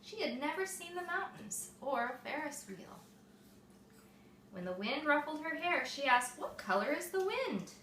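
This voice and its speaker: Woman, storybook read-aloud, animated intonation, bright high voice, simple explanatory asides, echoey recording with faint noise. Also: normal speed. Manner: expressive, explains simply to small listeners. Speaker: mother reading a picture book to young children. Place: echoey room with small children present. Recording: echoey room, distant mic, faint noise.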